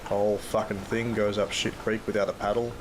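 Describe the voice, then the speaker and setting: An actor plays a weary Australian dad with a low voice, telling his own grim story in an audio drama. He sounds troubled and narrates in the first person over loud noise and rain. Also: little pitch movement, brisk, natural voice. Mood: weary, brooding. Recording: very noisy track, rain and storm ambience.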